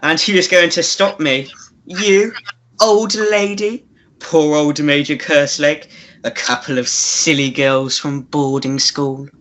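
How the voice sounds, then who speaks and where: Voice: medium pitch, steady tone, fairly bright tone, slight background noise. An actor playing a gloating, scheming male villain in a theatrical radio melodrama. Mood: taunting. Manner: slow, gloating, theatrical delivery. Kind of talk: old-fashioned radio melodrama acting.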